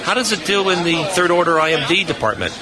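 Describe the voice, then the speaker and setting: A man's voice: on the high side, sing-song, fast talker. Enthusiastic man explaining over convention crowd noise. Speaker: radio lab expert guest. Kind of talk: casual technical explanation in a live interview. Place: busy hamfest exhibit hall, live booth. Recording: live from a crowded convention floor.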